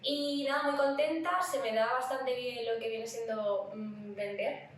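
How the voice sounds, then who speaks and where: A woman's voice: on the high side, fairly even intonation, unhurried. A woman with a Spanish accent from Spain, chatting casually and playfully as a podcast co-host. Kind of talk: casual chat. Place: room at home. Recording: very echoey room, distant mic, faint noise.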